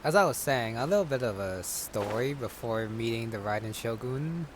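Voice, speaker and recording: A man giving casual commentary on his gameplay, musing aloud in a low-key way. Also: lively intonation, normal speed, noisy background. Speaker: gamer commenting on his playthrough. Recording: loud game music and effects behind. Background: game music, combat crashes.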